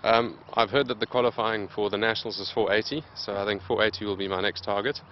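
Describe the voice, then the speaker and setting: Deep-voiced man, plain and steady. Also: highs cut off, a little hiss or hum. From Zimbabwe. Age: late 20s. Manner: steady, plain, many "um" pauses. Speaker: interviewed athlete. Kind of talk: casual interview answers.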